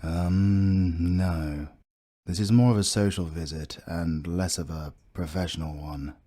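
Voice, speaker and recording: A British man playing a proud, courteous army captain in a fantasy audio roleplay, speaking formally and with measured care. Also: low voice, fairly even intonation, warm tone. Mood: polite, reserved. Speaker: voice actor.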